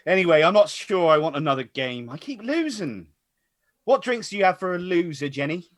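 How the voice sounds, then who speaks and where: A man's voice: sits high, lively intonation, brisk. A man playing a good-natured, bantering pub regular in a radio comedy. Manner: bantering, chuckly. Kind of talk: radio comedy play reading.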